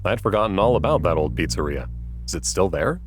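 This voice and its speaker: A man as a deep, quick-talking cop. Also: expressive, slight background noise. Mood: stern. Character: gruff, authoritative adult policeman.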